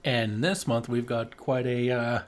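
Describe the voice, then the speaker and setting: A man's voice: medium pitch, fairly even intonation, a little slow. A man co-hosting a podcast, talking casually about his shows. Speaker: podcast co-host.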